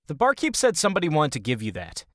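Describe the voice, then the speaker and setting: An actor playing a young male high elf in a fantasy audio drama, incredulous and hesitant. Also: wildly swooping pitch, quick pace, no echo, clean studio sound. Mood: concerned.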